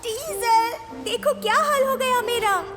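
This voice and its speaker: Little girl train, extremely high pitch, slow, sweet, childlike, children's cartoon, heavy background music. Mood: startled, upset. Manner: childlike, expressive. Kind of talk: Hindi-dubbed children's cartoon acting. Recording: loud music under the voice.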